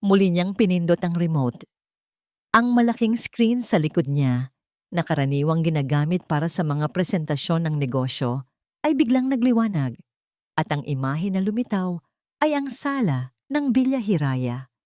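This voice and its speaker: A woman storyteller reads scripted drama with very lively intonation. Her voice sits a little low, and the recording is dry, with no room sound. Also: normal speed, no room sound.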